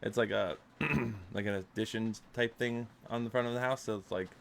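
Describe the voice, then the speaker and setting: A man's voice: on the low side, steady tone, fairly quick, slight background noise. Stuffy-nosed guy hosting a chill podcast from home, lots of uhs and likes. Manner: loose, filler-heavy talk. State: stuffed up from allergies. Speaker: podcast host. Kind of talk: casual chat. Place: home room with loud rain outside. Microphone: desktop microphone.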